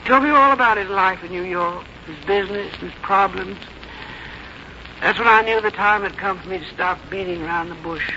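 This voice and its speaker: A woman's voice: mid-range voice, normal speed, bright, forceful tone. An actress playing a plain-spoken, strong-willed mother in her seventies in a 1950s radio drama, with a folksy, firm way of talking. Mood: fond reminiscing. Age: 70s. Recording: dull, noisy old radio broadcast recording.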